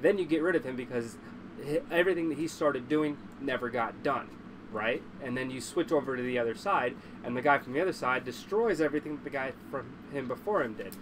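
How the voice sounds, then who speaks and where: A man's voice: mid-range voice, fairly quick. Congested man livestreaming over background noise. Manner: blunt, profane, opinionated. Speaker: livestream host. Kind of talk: casual livestream chat.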